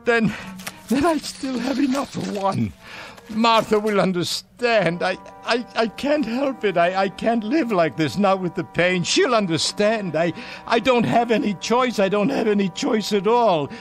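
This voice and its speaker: An actor playing a frail, desperate elderly man in a radio drama, speaking haltingly and wearily. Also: high-pitched, lively intonation. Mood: anguished. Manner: halting, stammering. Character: frail, pained elderly husband, weary and desperate. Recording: radio drama with music underneath.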